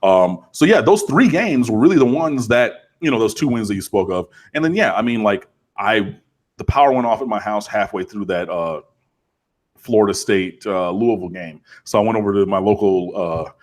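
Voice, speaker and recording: A man chatting casually as a college football podcast co-host, rambling and animated with lots of fillers. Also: lowish voice, normal speed. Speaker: co-host, Miami fan. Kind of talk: casual sports podcast chat.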